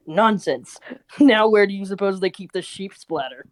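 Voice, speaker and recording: A woman's voice: mid-range voice, expressive, unhurried, close to an everyday voice. A woman reading the part of a patient, easygoing old grandfather in an amateur cartoon table read. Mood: puzzled, cheerful.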